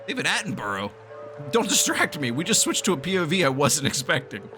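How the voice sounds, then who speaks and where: A man reacts casually to a video game story as he plays. His delivery is playful and very animated, full of jokey asides. Game music plays clearly behind him. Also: on the high side, a little fast. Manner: jokey, interjecting. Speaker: gaming YouTuber reacting to a story quest. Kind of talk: casual playful commentary over gameplay.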